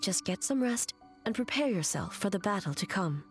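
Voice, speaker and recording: A woman as regal female shogun, medium-pitched voice, formal, solemn, stoic, video game dialogue with soft music. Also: lively intonation, moderate pace, lightly acted. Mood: solemn, caring. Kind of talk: video game character acting.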